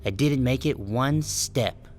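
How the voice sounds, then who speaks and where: A man's voice: medium pitch, slow, close to an everyday voice. A man playing a wary, resentful sheep who narrates his own story in a wry, guarded audiobook style. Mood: wry, tense. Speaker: first-person narrator and protagonist. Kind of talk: scripted first-person audiobook narration.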